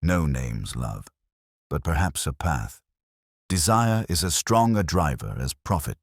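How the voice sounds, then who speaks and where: A man voicing a tranquil, shrewd police inspector in an audiobook mystery, speaking gently and with measured kindness. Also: rumbling low, sing-song, breathy edge, no room sound, clean studio sound, exaggerated voice. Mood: calm.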